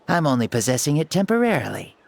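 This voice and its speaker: A man's voice: on the high side, sing-song, fast talker, vocal fry, slight background noise. A man voicing a playful, confident ancient hero who has borrowed a young boy's body, theatrical in a video game. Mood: playful, amused.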